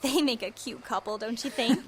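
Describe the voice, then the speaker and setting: A woman's voice: fairly high pitch, normal speed, slight background noise, natural voice. A woman voicing a bubbly, cheerful young woman in an audio drama, gushing and upbeat.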